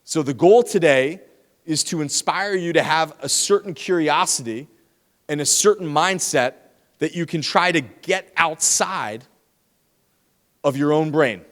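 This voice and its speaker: A man giving a lively, teasing lecture to students in a large hall, speaking into a handheld microphone. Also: on the high side, a little slow. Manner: lively, teasing, talks straight to the audience. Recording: long hall reverb.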